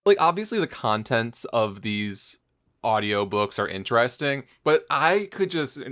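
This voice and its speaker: A man co-hosting a podcast in casual discussion, talking in a halting, questioning, rambling way. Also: medium pitch, big pitch swings, a bit bright. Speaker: podcast host.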